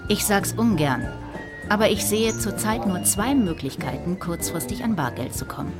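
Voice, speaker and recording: A woman's voice: mid-range voice, expressive, normal speed, over music, natural voice. An actress playing a tough, shrewd female bounty hunter in a radio crime drama, speaking in an assertive, businesslike, bargaining way. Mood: insistent, calculating.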